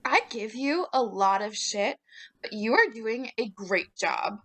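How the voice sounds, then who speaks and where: A woman's voice: fairly high pitch, animated, slow, fairly bright tone, exaggerated voice. A young woman playing a brash, foul-mouthed sorority sister in a dramatic reading, crude and emphatic.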